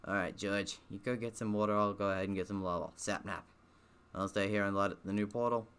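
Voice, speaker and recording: A man's voice: lowish voice, fairly even intonation, a little fast, fairly bright tone, smooth, lightly acted. A man voicing a casual, breezy young male adventurer in a block-building video game. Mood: breezy, directing.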